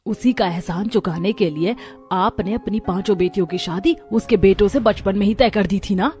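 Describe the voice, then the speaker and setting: A woman acts as a middle-aged mother from a moral storybook tale. She is worried and scolding, with an earnest, admonishing delivery. Her mid-pitched voice sits over soft music. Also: moderate pace, lightly acted. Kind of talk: Hindi moral story voice acting.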